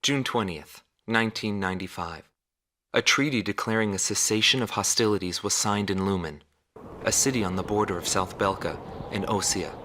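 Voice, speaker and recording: An actor playing a reflective journalist narrating a war documentary in a measured, solemn way. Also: male voice, fairly low pitch, pushed and bright, slight background noise.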